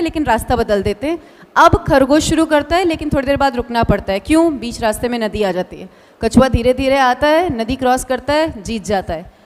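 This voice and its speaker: High-voiced woman storyteller in echoing hall. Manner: punchy short phrases, addresses the listener directly. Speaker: motivational speaker. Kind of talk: motivational storytelling. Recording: long echoing hall reverb.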